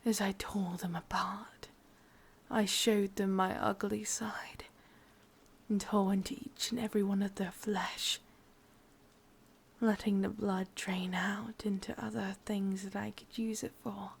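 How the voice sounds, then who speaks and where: A woman with an English accent playing a sadistic, brooding witch in a breathy, mostly whispered ASMR roleplay. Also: mid-range voice, little pitch movement, heavily acted. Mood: cold, menacing. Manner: slow, hushed, deliberate. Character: sadistic, brooding female witch, bitter and obsessive.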